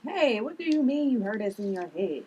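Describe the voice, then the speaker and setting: Woman reading wary, irritated sibling, lively pitch. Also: moderate pace, lo-fi sound. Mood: guarded. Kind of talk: table read of a drama script. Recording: far from mic, clear background noise.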